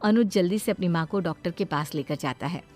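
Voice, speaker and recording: A woman's voice: lowish voice, a little fast, darkish tone, soft background music. A woman storyteller reading scripted narration for a cartoon bedtime story.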